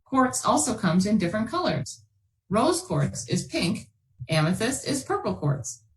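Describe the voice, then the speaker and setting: A woman with a medium-pitched voice hosts a storytime for children. She speaks as someone presenting a children's science book to young listeners. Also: very animated, a little slow, a little hiss or hum. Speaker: children's storytime host and reader.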